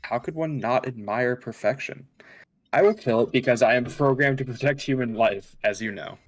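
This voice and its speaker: Man as damaged android: calculating, superior, precise diction, mid-pitched male voice, table-read drama. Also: normal speed, close to an everyday voice, rough recording. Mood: calm, persuasive.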